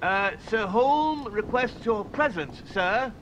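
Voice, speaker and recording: An actor playing a deferential, hesitant manservant in a video game, formal and halting. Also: male voice, high voice, animated, a bit bright, a little hiss or hum, full character voice. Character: deferential, hesitant manservant announcing to his master. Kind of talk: dramatic video game acting.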